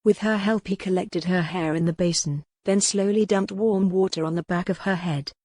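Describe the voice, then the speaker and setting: Low-voiced woman narrating, close and dry. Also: steady tone, normal speed, a little mellow, no room sound. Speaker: audiobook narrator. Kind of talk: scripted narration of historical romance novel.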